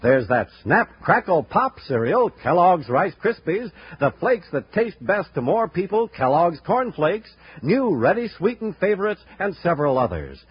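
A man's voice: sits high, very animated, normal speed, hardly any pauses, slightly rough. Male 1950s radio announcer: salesmanlike, emphatic, swooping intonation, dull old broadcast sound. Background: music.

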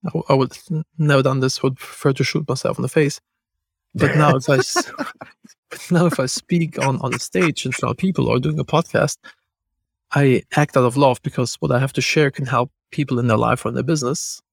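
Fast-talking gravelly man, German accent. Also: mid-range voice, fairly even intonation, no echo. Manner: fast, loose talk with many restarts and fillers. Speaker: podcast host. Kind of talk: casual podcast conversation.

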